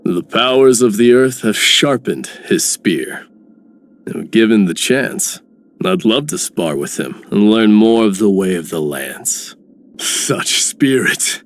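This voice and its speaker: A man's voice: lowish voice, animated, slow, slight background noise. A man voicing a hearty, eager noble warrior in scripted video game lines. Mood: spirited.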